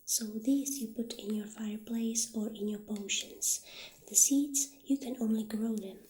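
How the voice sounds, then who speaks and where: A woman speaking in a gentle, hushed ASMR roleplay style, partly whispering, as an obliging witch shopkeeper addressing a customer. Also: fairly high pitch, fairly even intonation, a little slow. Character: soft-spoken witch shopkeeper, calm and obliging.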